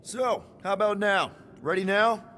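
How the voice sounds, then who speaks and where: An actor playing an earnest, loyal, wisecracking young space-crew leader in a video game, talking casually. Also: male voice, sits high, measured pace, a little hiss or hum. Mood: encouraging. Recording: reverberant, loud music behind.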